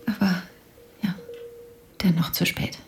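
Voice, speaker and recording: An actress playing a polite, grieving widow in a naturalistic radio drama, speaking softly and hesitantly with some breathiness. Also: female voice, lowish voice, flat delivery, slow pace, whispered. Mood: subdued, hesitant.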